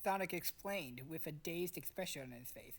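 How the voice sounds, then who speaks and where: A woman's voice: sits low, moderate pace. A woman hosting and narrating a casual amateur story reading, blunt, bossy and sweary between lines. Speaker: host and narrator.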